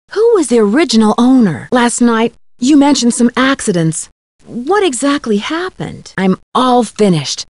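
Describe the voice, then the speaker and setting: Game sleuth: young, curious, courteous, highish animated voice, dry studio. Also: female voice, no echo. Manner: polite, inquisitive. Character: polite, inquisitive young female amateur detective. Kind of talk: video game voice acting.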